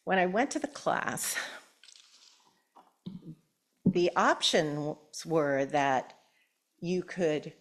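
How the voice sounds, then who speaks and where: An older woman shares a personal story at a church gathering, gentle and given to soft laughter. Her voice sits a little low, and she takes her time, unhurried and deliberate. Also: animated. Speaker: church speaker. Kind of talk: personal story and poem reading.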